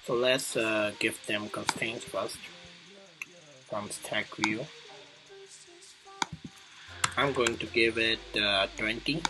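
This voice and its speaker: A man presenting a casual coding tutorial, thinking aloud in a halting way as he demonstrates each step. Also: lowish voice, steady tone, low-quality recording. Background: music clearly playing behind the voice.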